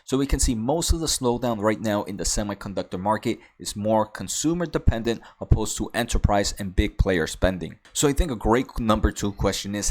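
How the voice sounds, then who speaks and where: A man hosting an investing video, explaining things informally and step by step as commentary for viewers. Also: on the low side. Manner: informal, walks through numbered questions step by step. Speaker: host of a stock investing video channel.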